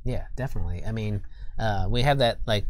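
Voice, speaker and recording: A man giving casual interview answers in a studio as a game designer, halting and groping for words. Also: on the low side.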